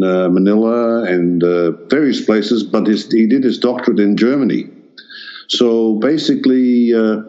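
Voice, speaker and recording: A man's voice: on the low side, dark tone, highs cut off. An older man born in the Netherlands whose first language is Dutch, answering interview questions hesitantly, with many restarts and fillers. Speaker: artist guest.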